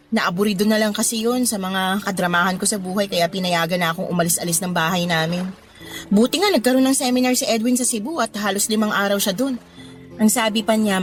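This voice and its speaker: A woman's voice: mid-range voice, close to an everyday voice. An actress playing a discontented, flirty mother of thirty who confides in a Filipino accent, in a conversational radio drama style. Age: 30s. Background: background music.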